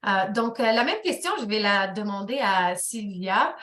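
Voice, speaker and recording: A woman's voice: medium pitch, animated, unhurried, a bit bright, echoey room. A woman moderating a webinar panel, asking questions with many hesitations.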